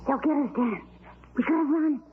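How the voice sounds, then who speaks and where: A woman's voice: high voice, normal speed, lightly acted. A woman playing a timid, frightened child, fretful and pleading, in 1940s radio acting style. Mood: panicked. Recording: noisy tinny old radio recording.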